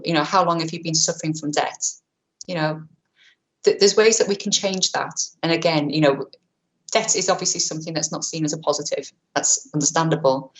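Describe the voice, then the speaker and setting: Woman, low register, webinar presenter; brisk, chatty, self-correcting delivery on a webcam mic. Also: rough recording. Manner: quick, chatty, self-correcting, many fillers; says she has a powerful voice. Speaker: webinar presenter on vulnerable clients. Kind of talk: online training talk.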